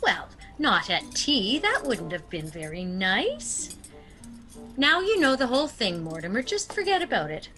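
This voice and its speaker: Actress as prim, unrepentant little old lady for radio farce; bright, high voice, very expressive, genteel. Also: measured pace, soft background music, heavily acted. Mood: primly soothing. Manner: genteel, gently scolding. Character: sweet, prim, cheerfully unrepentant elderly aunt. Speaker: actor. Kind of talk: theatrical radio comedy acting.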